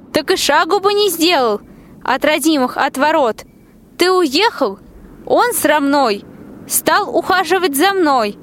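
A plaintive, loving young wife played by a young woman speaks folk-tale verse in a radio play. Her voice is very high, with faint noise behind it. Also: a bit bright. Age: young adult. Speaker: school student actor.

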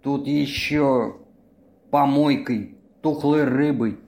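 A man plays a late-calling lover in an audio drama. He talks slowly in a medium-pitched voice. He coos and wheedles, with a fussy, suspicious streak. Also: fairly even intonation, a little mellow. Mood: suspicious, casual. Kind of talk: amateur audio play acting.

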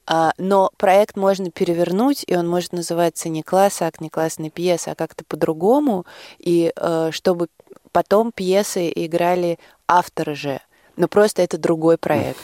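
A woman speaks in a fairly low voice as a guest in a radio interview. Her phrasing is thoughtful and hesitant, with frequent pauses. The recording is close and dry. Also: warm tone, no room sound. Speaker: interview guest, drama project representative. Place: radio studio.

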